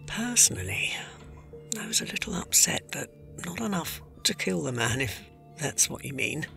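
Casting: an actor as deferential servant, very gravelly, half-whispered, hesitant and proper. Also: mid-range voice, sing-song, moderate pace, pushed and bright, soft background music, heavily acted. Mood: hushed, confiding. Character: discreet, anxious household servant, formal and deferential. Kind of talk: audio drama acting.

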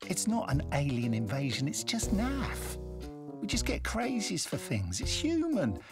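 A man's voice: a little high, wildly swooping pitch, measured pace, over music, voice pushed up, full character voice. A man voicing a wry, sceptical young woman in an audiobook, with an informal manner. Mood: dismissive.

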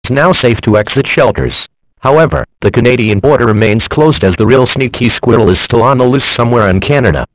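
A man's voice: medium pitch, brisk, no echo, natural voice, low-quality recording. A man voicing an impersonal emergency alert announcer, formal and official, reading a scripted alert.

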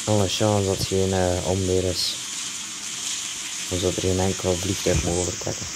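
A man's voice: low-pitched, little pitch movement, normal speed, heavy background noise. A man with a Flemish accent giving casual commentary while playing a game. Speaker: gaming video host.

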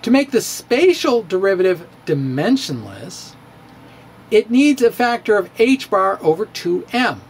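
Man, middle-aged, playful theatrical reading, lively swooping pitch, close mic in a home study. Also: measured pace, breathy edge. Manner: playful, theatrical, with chuckles and asides. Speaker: physics blogger. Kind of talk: dramatic reading of his own blog. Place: home study with bookshelves, indoors. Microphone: studio microphone on stand, close.

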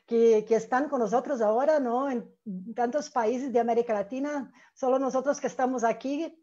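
A middle-aged woman with a Brazilian accent, a native Portuguese speaker, presenting academically and haltingly on a dull-sounding video call. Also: a little high, warm tone. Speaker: academic panelist, researcher. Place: room with bookshelves indoors. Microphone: earphone mic on video call.

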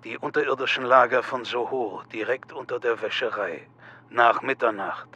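An actor playing a sinister, taunting cult leader in an audio drama, measured, menacing and husky. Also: male voice, mid-range voice, sharp tone, natural voice. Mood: solemn, taunting. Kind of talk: German audio drama acting.

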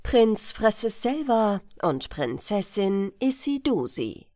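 A woman narrating a fairy-tale audiobook for bedtime with expressive, deliberate phrasing. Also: medium pitch, dry recording.

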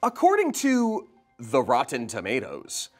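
A young man hosts a playful game show quiz. His pitch moves around a lot as he delivers questions in a dry, teasing way, with light music underneath. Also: wildly swooping pitch, a little slow. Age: young adult. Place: indoor studio set. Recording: music bed behind the voice.

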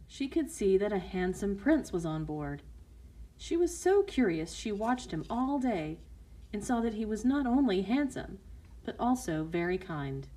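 A woman's voice: mid-range voice, very animated, unhurried, dark tone, rough recording. A woman narrating a scripted fairy tale for children as a storyteller.

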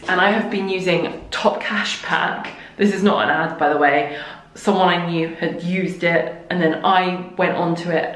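Chatty woman in an echoey kitchen. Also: on the low side. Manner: chatty, upbeat, many ums, chats to her toddler in between. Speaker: home renovation vlogger. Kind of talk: casual vlog to camera. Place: half-renovated house, echoey bare kitchen. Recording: echoey room, faint noise.

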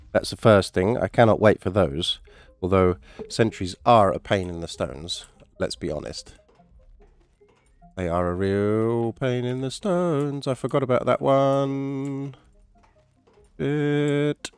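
A man's voice: mid-range voice, slow, smooth, dry recording, soft background music. A man with a stuffy, nasal voice from a cold, chatting casually while commentating a survival game. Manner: chatty, rambling, mild swearing. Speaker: gaming YouTuber.

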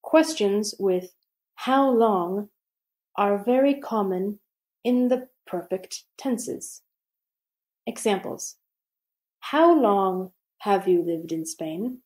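Woman reading grammar notes, really slow, soft mellow voice. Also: medium pitch, expressive. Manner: slow, deliberate reading with pauses. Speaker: English teacher. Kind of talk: slow scripted grammar lesson.

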